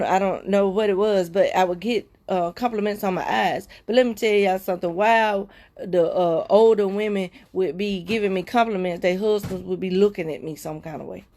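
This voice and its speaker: A woman hosting a livestream, sharing a heartfelt personal testimony with viewers in a repetitive, preacher-like cadence, often slowing down and dropping to soft murmurs. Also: mid-range voice. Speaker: livestream host.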